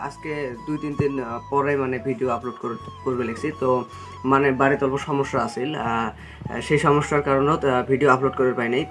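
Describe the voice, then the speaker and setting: A man hosts a casual online video, speaking in a hesitant, repetitive way full of fillers. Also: mid-range voice. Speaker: YouTube channel host. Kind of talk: casual video intro and outro to viewers. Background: music.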